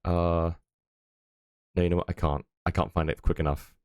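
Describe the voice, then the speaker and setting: A man with a very low, deep voice talks casually to his stream audience from a home setup. He rambles, filling gaps with ums and small chuckles. The sound is dry and close, with no room echo. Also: little pitch movement, normal speed, darkish tone, clear, resonant tone. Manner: rambling, lots of fillers and chuckles.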